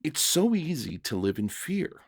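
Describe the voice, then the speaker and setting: A man voicing a kind, serene male prisoner in a story reading, speaking softly, slowly and with tender reassurance. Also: on the high side, sing-song, no room sound, studio quality, voice pushed up, exaggerated voice. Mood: soothing, understanding. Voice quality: soft, kind voice. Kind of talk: dramatic short-story reading.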